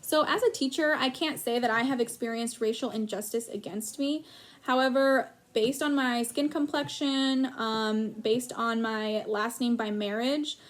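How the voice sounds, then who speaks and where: A woman teacher speaks in a fairly high voice, calm and reflective. She answers interview questions and pauses often with soft ums. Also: slight background noise. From United States.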